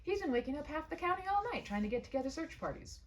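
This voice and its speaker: A woman's voice: high voice, sing-song, fast, far from the mic, pitched above the performer's own voice. A woman voicing folksy, amused male animal control officers with a genial, teasing, easygoing way of talking.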